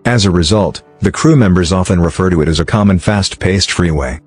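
Deep-voiced male explainer narrator. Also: fairly quick, slightly rough. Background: background music.

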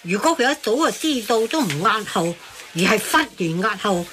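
A woman's voice: medium pitch, very animated, normal speed, a bit bright, a little hiss or hum. A woman playing a puzzled, fussy everyday character who questions and exclaims in a radio drama. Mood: puzzled, questioning.

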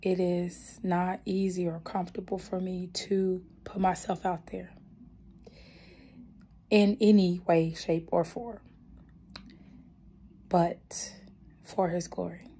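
Hoarse young woman, soft earnest testimony. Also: fairly low pitch, level tone, takes it slowly, long pauses, a little hiss or hum. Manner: soft-spoken, earnest, partly whispered. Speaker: gospel singer. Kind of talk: spoken testimony then a cappella gospel singing. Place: small dim room indoors. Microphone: phone camera held close.

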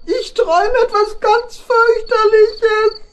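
A woman playing a small, frightened male hedgehog in a children's audio play, squeaky and childlike, lamenting in a drawn-out way. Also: fairly even intonation. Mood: anxious, plaintive. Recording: faint noise from old vinyl record.

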